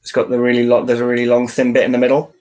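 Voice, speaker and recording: Flat-toned, matter-of-fact man. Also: medium pitch, very fast. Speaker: workshop participant. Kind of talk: unscripted description.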